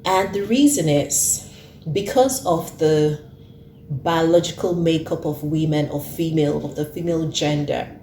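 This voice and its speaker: Low, slow-speaking woman in her thirties. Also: warm tone, echoey room, slight background noise. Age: 30s. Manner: earnest, repeats points for emphasis. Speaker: host. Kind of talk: casual talk to camera.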